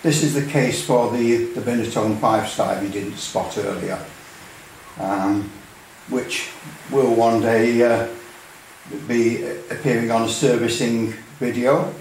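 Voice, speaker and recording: A man's voice: mid-range voice, steady tone, vocal fry, darkish tone. Man in a very echoey workshop. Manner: halting, unscripted delivery with many hesitations. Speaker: hobbyist presenter demonstrating restoration. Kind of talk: informal how-to narration. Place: hard-surfaced workshop indoors. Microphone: distant camera microphone. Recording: very echoey, distant, noisy room sound.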